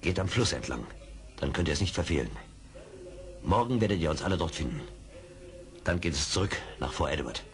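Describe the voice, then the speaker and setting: An actor playing a calm, shrewd frontier scout in a radio play, speaking in a measured, commanding way. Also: male voice, rumbling low. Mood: brisk. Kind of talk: 1970s German radio play acting. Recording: old record, clear background noise.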